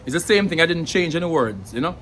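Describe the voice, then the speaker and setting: A man's voice: a little high, lively intonation, quick pace, fairly bright tone, slight background noise. A young adult man with a Jamaican accent, explaining in a casual, thoughtful way to camera, with many fillers and repeated words. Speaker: language vlogger.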